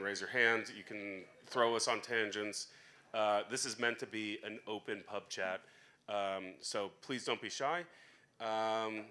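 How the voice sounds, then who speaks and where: A man's voice: fairly low pitch, sharp tone. A man co-hosting a live chat in a bar, welcoming the crowd in a chatty, upbeat way with many fillers. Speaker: co-host.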